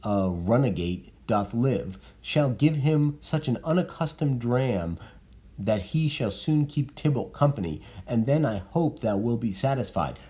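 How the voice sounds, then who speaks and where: A man reading the part of a stern, vengeful noble mother in a raised, measured theatrical voice. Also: mid-range voice, lively intonation, dark tone, voice pushed up, natural voice. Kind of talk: dramatic reading of verse.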